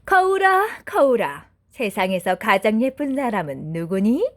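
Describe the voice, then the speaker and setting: A woman's voice: a little high, very animated, unhurried. A woman voicing a vain, imperious wicked queen in a storybook, calling out theatrically. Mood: vain, demanding. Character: vain, imperious beautiful wicked queen questioning her mirror.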